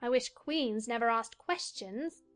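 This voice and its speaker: A woman's voice: on the high side, measured pace, fairly bright tone. A woman playing a polite, sensible, puzzled little girl, earnest and faintly exasperated, in an audiobook drama. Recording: music behind the voice.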